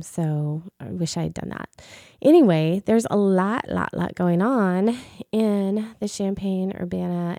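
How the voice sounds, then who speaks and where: A woman's voice: on the low side, creaky, dark tone, no echo. A middle-aged woman hosting a radio show solo from the studio, chatty with many ums and restarts, her voice a little off after being ill. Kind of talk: solo casual radio talk.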